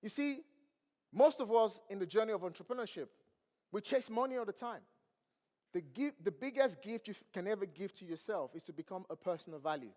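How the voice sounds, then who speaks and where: A man's voice: high-pitched, expressive, brisk, a little mellow. Nigerian man preaching from a big stage. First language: not English. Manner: preachy, asks the crowd questions. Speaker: motivational speaker. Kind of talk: motivational stage speech. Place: large event hall. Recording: long hall reverb.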